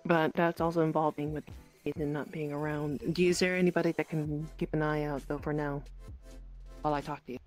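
A woman's voice: deep voice, flat delivery, brisk, natural voice. A woman acts out a protective, motherly figure in an improvised game roleplay. She is anxious and bossy, stumbling into restarts and then scolding firmly, with faint music behind her voice. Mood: concerned. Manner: hesitant restarts, firm scolding.

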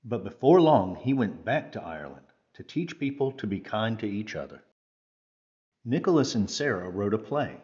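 Male storytime reader, lowish voice, careful phrasing, slightly dull recording. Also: sing-song, moderate pace, darkish tone. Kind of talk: children's picture book read-aloud.

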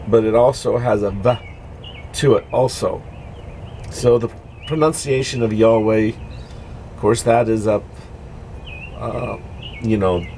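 Middle-aged man, informal devotional vlogger, unhurried and halting, mid-pitched voice, faint background noise. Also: a little mellow. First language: English. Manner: halting, explanatory. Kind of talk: informal devotional talk to camera. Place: dark greenhouse. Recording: noisy amateur recording with background ambience.

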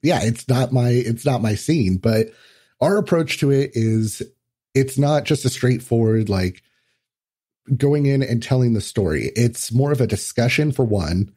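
A man's voice: mid-range voice, lively intonation, a little slow, dark tone, breathy edge. A man talking as a podcast guest in a casual, explanatory, lightly sweary conversational way.

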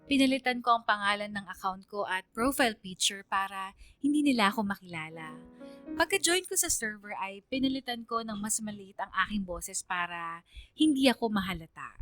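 A woman's voice: medium pitch, expressive, moderate pace, a bit bright, natural voice. Woman acting young student narrating her story over music. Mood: reflective. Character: young female student recounting a lesson from friendship and love. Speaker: narrator of a radio story drama. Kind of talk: first-person dramatized story narration. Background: instrumental music.

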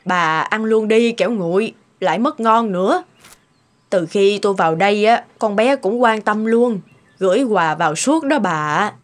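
A woman voice-acting mild, caring older mothers in an animated moral tale. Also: mid-range voice, measured pace, lightly acted.

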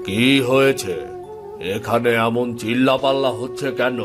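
A man playing a stern, grudging village householder in an animated moral story, gruff and commanding. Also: mid-range voice, a little slow, over music, close to an everyday voice. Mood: annoyed.

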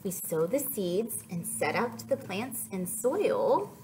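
A woman's voice: medium pitch, slow, noisy background. A young woman hosting a children's story time, reading and chatting to young children in a sing-song, questioning way. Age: young adult. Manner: animated, sing-song, prompting listeners with questions.

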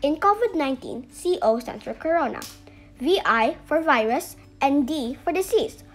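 A woman voicing a calm, reassuring doctor puppet, explaining carefully in a scripted children's educational show. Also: high voice, moderate pace, soft background music. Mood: instructive.

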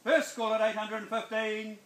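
Brisk New Zealand auctioneer calling bids. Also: male voice, high-pitched, completely flat delivery, a bit bright, echoey room. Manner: brisk, coaxing, repeats the bid in a chant. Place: sunny backyard outdoors. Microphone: phone camera at a distance.

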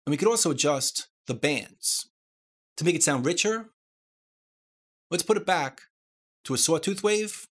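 A man presenting a software tutorial, explaining things step by step. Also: on the high side, lively intonation, measured pace, pauses often, breathy edge.

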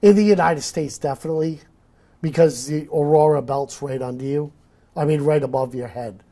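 A man's voice: a little high, normal speed, dark tone. New England man explaining eagerly. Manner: gestures a lot, explains eagerly with restarts. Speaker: nature photographer guest. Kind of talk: casual interview talk. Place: studio set. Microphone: lapel.

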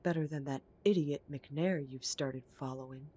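A woman's voice: deep voice, normal speed, warm tone, dull audio. A woman playing a snobbish young werewolf heiress in an audio drama, with a prim, cutting, disdainful way of talking. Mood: scornful, accusing. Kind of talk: audio roleplay drama acting.

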